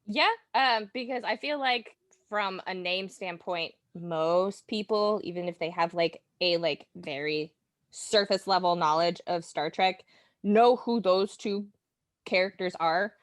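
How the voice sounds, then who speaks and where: A woman's voice: on the low side, measured pace, no echo. Woman guest chatting casually, very dry sound. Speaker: podcast guest. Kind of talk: casual chat.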